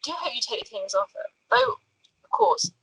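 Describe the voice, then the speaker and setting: A woman's voice: on the high side, animated, normal speed, a bit bright, a little hiss or hum, poor audio. A woman playing an arrogant young knight, smug and mock-courteous, in an amateur script table read. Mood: smug, needling. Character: smirking, arrogant young knight, male, needling a rival.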